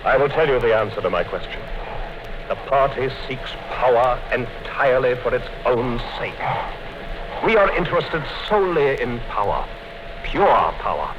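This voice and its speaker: An actor playing a coldly patient interrogator. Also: male voice, medium pitch, natural voice. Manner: calm, measured, coldly menacing. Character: cold, patient male party interrogator, middle-aged. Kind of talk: 1950s radio drama acting. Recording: dull 1950s radio broadcast with hiss.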